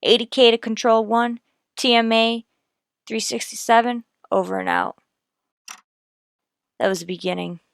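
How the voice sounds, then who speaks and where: A woman's voice: fairly high pitch, fairly even intonation, normal speed, gravelly, long pauses, clean tone, no room sound, clean studio sound. A woman playing a dogged, businesslike police detective, narrating her case in a terse, matter-of-fact radio drama style. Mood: brisk, official.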